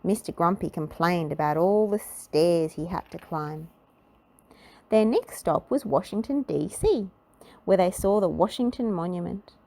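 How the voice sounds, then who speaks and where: A woman narrating a children's storybook aloud in an expressive, measured storytelling manner. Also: lowish voice.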